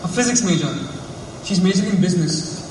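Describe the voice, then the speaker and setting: An actor in a stage play playing a jealous, reproachful college teacher with a dry manner. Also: male voice, high-pitched, quick pace, a little mellow, lightly acted. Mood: accusing. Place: theatre stage, recorded from far off. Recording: very echoey hall, distant mic, noisy.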